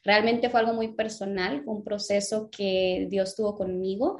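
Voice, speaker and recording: A woman's voice: medium pitch, level tone, normal speed. Woman telling her story with many fillers. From Guatemala. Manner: warm faith-filled storytelling with frequent "eh" fillers. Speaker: interview guest, lettering teacher and business owner. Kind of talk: casual interview conversation.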